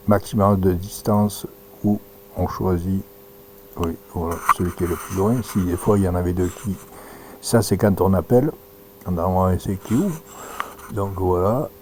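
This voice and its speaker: A man giving an informal live demonstration as an amateur radio operator, halting and thinking aloud as he works. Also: sits low, a little mellow. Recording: noisy recording with audible hum.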